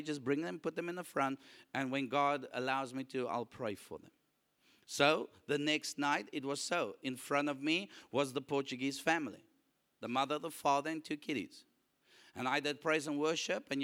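Bright-voiced Afrikaans-speaking man preaching. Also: medium pitch, clear, resonant tone. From South Africa. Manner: animated, calls for audience response. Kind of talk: sermon.

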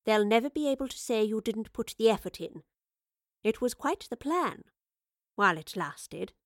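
Woman acting a female professor, smug, amused, unflappable, drawn-out teasing delivery, audiobook drama. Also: on the high side, lively intonation, fairly bright tone, dry recording, clean studio sound. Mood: mock comforting.

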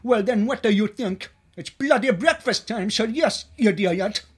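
A man's voice: sits high, animated, measured pace. A man playing a surly, short-tempered waiter in an adult comedy sketch, sarcastic and insolent. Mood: sarcastic, impatient. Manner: rude, sarcastic.

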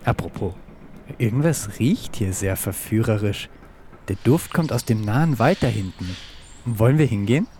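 A man's voice: lowish voice, expressive, normal speed, mellow, slight background noise. A man co-hosting a children's science podcast, performing as himself in a scripted audio play. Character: himself turned into a reluctant pink pig. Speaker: podcast co-host.

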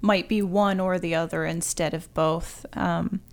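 A woman's voice: fairly low pitch, steady tone, a little slow, clean tone. A woman writer talking casually about fiction as a guest on a discussion podcast.

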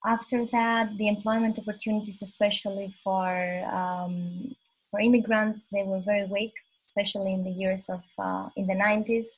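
Husky young woman, a modest interview guest. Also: mid-range voice, level tone, moderate pace, rough recording. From Albania. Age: 20s. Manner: soft-spoken, modest, earnest storytelling. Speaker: podcast guest. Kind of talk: casual interview conversation.

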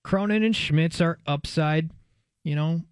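A man's voice: on the high side, unhurried, clear, resonant tone, dry recording. A man co-hosting a conversational sports talk show, thinking aloud somewhat hesitantly as he reasons. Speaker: sports radio co-host.

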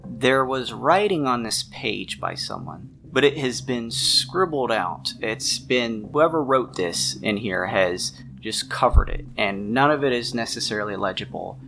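A man's voice: medium pitch, animated, unhurried, gravelly, hardly any pauses, pushed and bright, slight background noise. A man narrating a tabletop mystery game as game master, in a conversational, descriptive way. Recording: music playing behind the voice. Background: background music.

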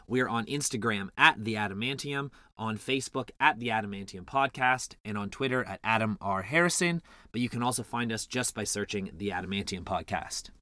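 A man's voice: mid-range voice, sharp tone, no room sound, studio quality. A young man hosting a podcast, upbeat and thankful, sounding slightly nasal as he gets over a cold. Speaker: podcast host. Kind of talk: podcast intro and interview.

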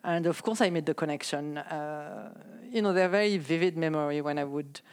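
A low-voiced woman in her sixties with a French accent talks through her memories in a soft, halting manner. She often pauses and laughs lightly while she speaks English as a second language. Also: clear, resonant tone. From France. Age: 60s. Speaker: podcast guest. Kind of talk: casual chat.

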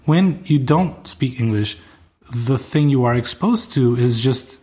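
A man's voice: medium pitch, expressive, measured pace, dark tone. A young adult man whose first language is Portuguese, chatting casually and enthusiastically, often restarting his sentences. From Brazil or Portugal. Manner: enthusiastic, rambling, frequent restarts. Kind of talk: casual chat.

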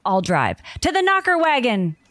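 A woman's voice: on the high side, huge pitch swings, normal speed, fairly bright tone, no echo. A woman playing an earnest, take-charge director of operations, speaking urgently in a comedy audio drama.

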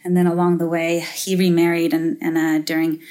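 Thoughtful, halting woman guest. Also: on the low side, monotone, warm tone. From Finland. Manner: thoughtful, halting, with many fillers and restarts. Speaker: guest, venture capitalist. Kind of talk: interview conversation.

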